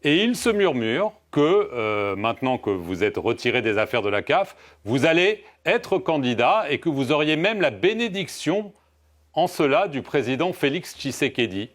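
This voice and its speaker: A man's voice: mid-range voice, big pitch swings, normal speed. A male journalist asking formal, polite interview questions with many fillers.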